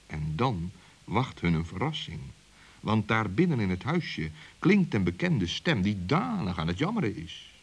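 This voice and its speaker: Expressive, husky male children's storyteller. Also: lowish voice, a little slow. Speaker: storyteller, narrator. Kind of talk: scripted children's story narration.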